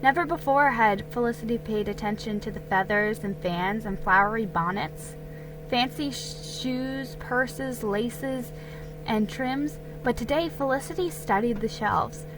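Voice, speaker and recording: A woman giving an expressive, deliberate dramatic reading of a children's historical storybook. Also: fairly high pitch, over music, low-quality recording. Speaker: storybook reader.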